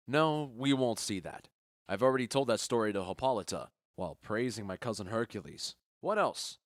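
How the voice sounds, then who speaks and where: A man's voice: on the low side, lively intonation, moderate pace, no room sound, natural voice. Man acting a confident, genial duke, dry studio sound. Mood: curious, amused. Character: confident, genial Athenian duke and bridegroom, skeptical of fancies. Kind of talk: audio drama acting of modernized Shakespeare.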